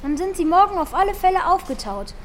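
A performer, heard as female, playing a curious, warm-hearted young child in a children's radio play. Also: extremely high pitch, sing-song, fast, slight background noise. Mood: playful, affectionate. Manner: earnest, questioning, childlike.

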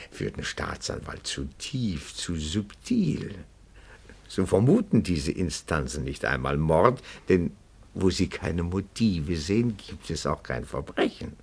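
Fussy, husky, swooping amateur detective. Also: male voice, low-pitched, heavily acted. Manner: meticulous, fussy, florid, deferential. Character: timid yet nosy, pedantic petit-bourgeois amateur detective. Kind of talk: German radio play dialogue.

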